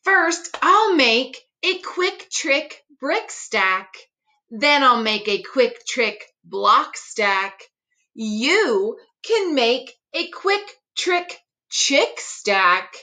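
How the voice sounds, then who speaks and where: A woman acts out a playful trickster fox in a storybook. He coaxes and cheerfully bosses with sing-song phrasing, pitched high and swooping up and down. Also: very animated, very slow, sharp tone, heavily acted. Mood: eager. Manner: coaxing, sing-song tongue-twister delivery. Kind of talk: children's picture book read-aloud.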